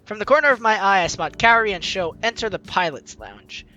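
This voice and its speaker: A gaming host reading a visual novel aloud and reacting with expressive, ad-libbed commentary. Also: medium pitch, a little fast, dull audio, soft background music. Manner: exclaims and voices dialogue expressively. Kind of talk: playthrough narration with animated ad-libbed reactions.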